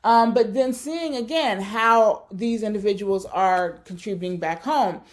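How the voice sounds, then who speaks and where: A woman's voice: mid-range voice, measured pace. A woman giving a thoughtful research talk, searching for words and often correcting herself. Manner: thoughtful, searching, many fillers and self-corrections. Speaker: academic speaker.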